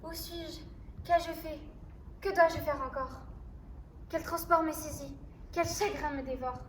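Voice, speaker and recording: A woman's voice: high-pitched, steady tone, slow pace. A woman drama student reciting theatre lines in an acted stage style. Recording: echoey room, distant mic, background noise.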